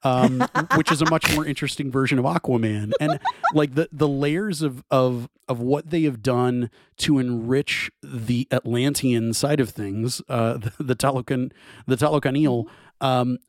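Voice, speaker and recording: An enthusiastic man talks in long, winding runs, restarting his sentences as the ideas pile up. His voice sits at an ordinary middle pitch. It is recorded close and dry, with no room sound. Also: a little slow, no echo. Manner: enthusiastic, rambling with many restarts. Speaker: podcast co-host. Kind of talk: casual film discussion.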